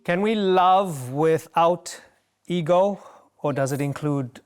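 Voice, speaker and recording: A man hosting a group discussion, playful and quick to laugh as he moves between speakers. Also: on the high side, smooth, faint music behind. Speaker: host. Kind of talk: moderating a discussion.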